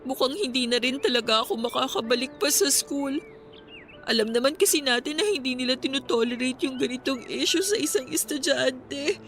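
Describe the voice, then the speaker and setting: A woman's voice: sits high, lively intonation, fairly quick, a bit bright. A woman playing an ashamed, fearful teenage schoolgirl in a Tagalog radio drama, meek and hesitant. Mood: downcast, resigned. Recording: faint music behind the voice.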